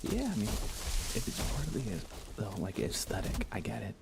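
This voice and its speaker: A man with a very low, fry-laden voice chats quietly on an ASMR gaming livestream. He keeps things hushed, often slipping toward a whisper, and wanders through his thoughts unhurriedly. Steady noise sits clearly behind him. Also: normal speed. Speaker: live streamer. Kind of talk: soft-spoken ASMR gaming livestream chat.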